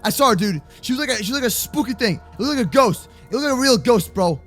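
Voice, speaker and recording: A young adult man improvising kids' Minecraft roleplay commentary in an excitable, slangy way, often repeating his words. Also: very high-pitched, fast talker, soft background music. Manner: slangy, addresses partner as bro and man. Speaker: gaming video host and roleplayer.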